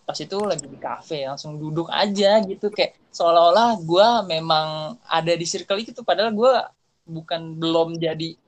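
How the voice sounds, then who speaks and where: A man's voice: on the high side, moderate pace. A young man with a Jakarta Indonesian accent, chatting casually and slangily as a podcast co-host. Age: young adult.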